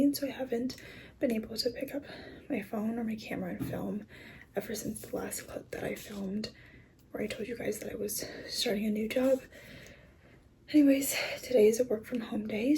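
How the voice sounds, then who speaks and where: A woman's voice: on the high side, mellow, slight background noise, low-quality recording. A woman in her twenties vlogging to her camera, chatty, upbeat and candid, sometimes turning reflective. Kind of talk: casual chatty vlog.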